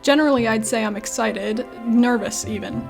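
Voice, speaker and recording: A woman's voice: a little high, moderate pace, gravelly, faint music behind. A woman hosting a scripted video commentary on games, with wry, self-deprecating asides. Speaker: video essayist, YouTube host.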